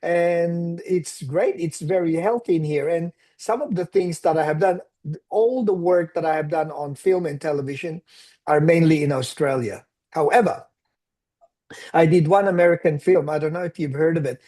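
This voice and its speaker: A man's voice: on the high side, normal speed, warm tone. Filipino-accented man in his sixties, storytelling. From Philippines. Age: 60s. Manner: reflective storytelling, mixes in Tagalog phrases. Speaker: actor, interview guest. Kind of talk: casual interview conversation.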